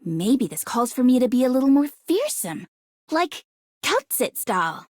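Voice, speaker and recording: A fretful, caring female doctor from a game. Her voice is fairly high with a husky edge and swings expressively, in a dry recording. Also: very animated, normal speed, no room sound. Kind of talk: scripted game character voice lines.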